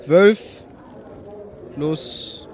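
A man's voice: a little high, very slow, a crowd in the background. A man speaking bluntly and colloquially as a player in a casual, improvised tabletop role-playing game.

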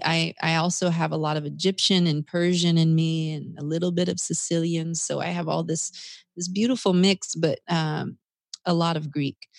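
Woman, American-raised, low register, reflective conversational storyteller for an interview, dry studio-like sound. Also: dry recording. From United States, South Carolina. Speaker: interview guest.

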